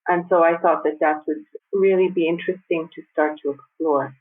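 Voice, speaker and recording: A woman in her fifties who grew up in the United States, speaking as a guest in a conversational interview, measured and thoughtful with light laughs. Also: deep voice, steady tone. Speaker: guest designer and architect. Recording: tinny phone-like remote line.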